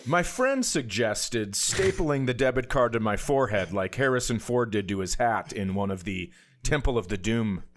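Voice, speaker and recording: A man's voice: medium pitch, lively intonation, moderate pace, a little hiss or hum. A man co-hosting a podcast with casual comedic banter, riffing in a teasing, profane way. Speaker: podcast co-host.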